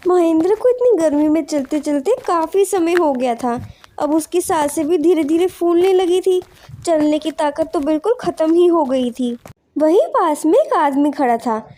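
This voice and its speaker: A woman's voice: high-pitched, dark tone, a little hiss or hum. A woman delivering scripted narration of a moral folk tale, as a storyteller.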